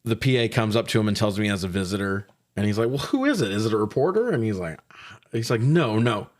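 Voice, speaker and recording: A man's voice: lowish voice, expressive, quick pace. A man co-hosting a casual movie recap chat, slangy and sweary, acting out quoted lines as he tells the story. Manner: slangy, profane. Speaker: podcast co-host.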